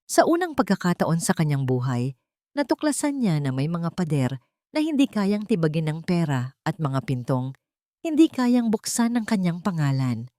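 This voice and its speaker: A woman's voice: on the low side, big pitch swings, moderate pace, mellow, no room sound, studio quality. A woman giving scripted, dramatic narration of a story as its narrator.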